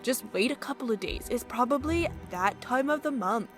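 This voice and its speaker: An actress playing a young college senior at a job interview in a radio play, emphatic and colloquial. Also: female voice, fairly high pitch, animated, moderate pace, soft background music, natural voice. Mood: brash, indignant. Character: female college senior job applicant, turning brash bro.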